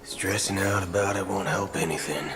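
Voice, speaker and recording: A man's voice: low voice, steady tone, normal speed, lightly acted. A man playing a brash, impatient young traveler in a video game, informal and slangy, shifting between chatty and muttering. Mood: wry, uneasy. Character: brash young male traveler fishing for clues, casual and impatient. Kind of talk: animated video game voice acting. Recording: loud game music underneath.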